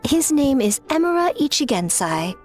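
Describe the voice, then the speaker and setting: A woman's voice: high-pitched, sing-song, moderate pace, music in the background. A woman voicing a sly, elegant fox shrine maiden in an anime game, arch and playfully condescending. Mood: poised, sly.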